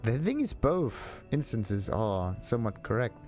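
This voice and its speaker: A man giving casual game commentary as a let's play host, thinking aloud in a halting, puzzled way. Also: mid-range voice, very animated, a little mellow. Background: game music.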